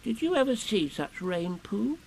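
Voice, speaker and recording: A tiny, anxious storybook piglet, pitched well up with a creaky edge. The little creature muses hesitantly and wistfully, sounding lonely and fretful as it thinks things over. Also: male voice, very animated, unhurried, darkish tone, full character voice, rough recording. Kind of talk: children's storybook character voice.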